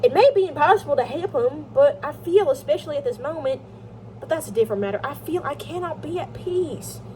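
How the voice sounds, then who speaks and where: A woman's voice: sits high, expressive, brisk, dark tone, slight background noise, voice pushed up. A woman reading an audiobook, voicing an earnest, guilt-ridden younger brother in an emotive, hesitant way. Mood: troubled. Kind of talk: amateur audiobook character reading.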